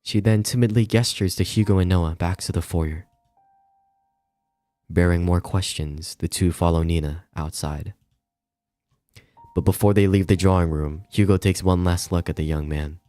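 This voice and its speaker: A man reading a visual novel aloud as dramatic narration, with measured, somber phrasing. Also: sits low, steady tone, mellow, dry recording.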